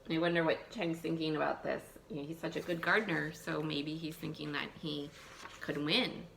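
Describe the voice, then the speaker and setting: A woman teacher reading a picture book aloud to young children, expressive, thinking aloud and putting questions to her listeners. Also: low voice, moderate pace, creaky, slight background noise, rough recording. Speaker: elementary school teacher.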